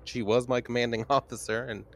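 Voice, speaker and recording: A man's voice: mid-range voice, flat delivery, a little fast, vocal fry, a bit bright. A man bantering casually as a player in a tabletop roleplaying game.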